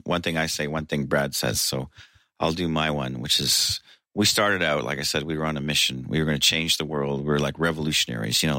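A man in his sixties, a singer, telling an animated, laughing anecdote in an interview. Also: extremely low pitch, no room sound. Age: 60s.

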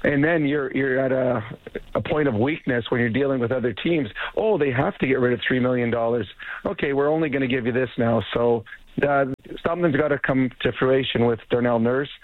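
Hockey guy on the phone, bit rough voice, tinny line, rambles along. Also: mid-range voice, fairly quick, dry recording. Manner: rambling, restarts, laughs easily. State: slightly rough voice. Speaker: radio analyst guest. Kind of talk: phone-in sports chat.

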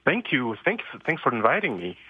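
A man's voice: mid-range voice, big pitch swings, normal speed, slightly rough, no echo. A man speaks as a radio guest, earnest and hesitant, often restarting a phrase. His voice comes over a dull, lo-fi line. It sounds dry and close, with no room around it. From France. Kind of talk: radio interview.

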